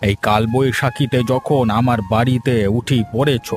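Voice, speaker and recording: A man plays a hospitable old village grandfather in a cartoon. He talks in a folksy, reassuring way, with clearly audible music behind him. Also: mid-range voice, steady tone, moderate pace, natural voice. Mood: warm, insistent. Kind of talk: cartoon voice acting.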